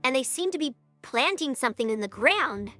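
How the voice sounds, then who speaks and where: Woman voicing a bright, high, earnest young pony. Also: animated, normal speed. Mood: serious, cautious. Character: studious, cautious young female pony. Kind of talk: dramatised fan fiction reading. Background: music.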